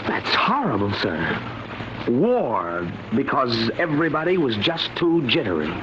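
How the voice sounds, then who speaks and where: An actor in an old-time radio drama playing an awed, horrified visitor to the future, speaking hesitantly and earnestly with stammers. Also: male voice, medium pitch, wildly swooping pitch, unhurried. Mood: bewildered. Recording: noisy, muffled vintage radio recording.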